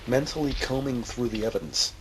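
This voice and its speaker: Gravelly male drama narrator. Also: medium pitch, a little fast. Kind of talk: scripted dramatic scene narration. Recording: noisy recording with background ambience.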